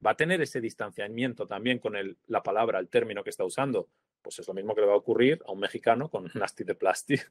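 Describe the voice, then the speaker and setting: A Spanish translator in his forties, speaking in a reflective interview over a video call, pausing often with fillers and asking little tag questions. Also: fairly low pitch, dry recording, clean studio sound. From Spain. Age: 40s. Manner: thoughtful, hesitant, many fillers and tag questions. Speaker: translator, prize-winning guest.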